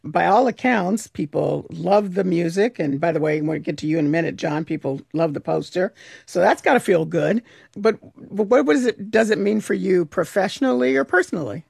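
A woman's voice: fairly low pitch, a little fast, creaky, darkish tone, slightly rough, no echo, clean studio sound. A woman hosting a public radio interview, asking probing questions in a conversational way with chatty asides. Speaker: radio host.

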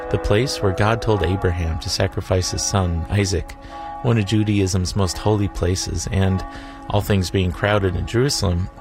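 A man narrating a travel documentary in a dry, wry, reflective way. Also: low voice. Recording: voice-over with music behind.

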